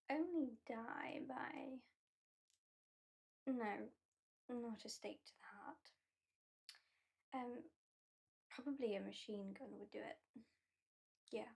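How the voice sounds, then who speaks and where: A British woman voicing a haughty, mocking female vampire in an ASMR roleplay, soft-spoken and often whispering. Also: fairly high pitch, slow, vocal fry, pauses often, breathy edge, full character voice. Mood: teasing. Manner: soft-spoken, drifting into whispers, dryly sardonic. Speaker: ASMR performer.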